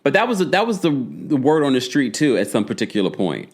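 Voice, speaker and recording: A man hosting a casual live recap of a reality show, gossipy and animated, with quick asides to his chat. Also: medium pitch, husky.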